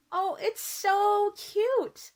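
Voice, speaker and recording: A woman's voice: extremely high pitch, drawn-out words. A woman guest in friendly scripted chat, recorded at home. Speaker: guest contest-winner performer. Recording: clearly noisy home recording.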